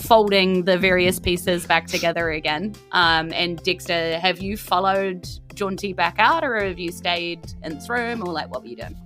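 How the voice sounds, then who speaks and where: Bright-voiced New Zealand woman running a game. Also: fairly low pitch, normal speed, hardly any pauses, faint music behind. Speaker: game master. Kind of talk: improvised narration of a tabletop game.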